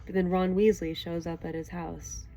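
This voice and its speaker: A woman's voice: lowish voice, flat delivery, normal speed, mellow, muffled recording. A woman reading stories aloud expressively and chatting casually about them. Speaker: audiobook reader and show host. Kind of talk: expressive storybook narration, then casual chat with a child listener.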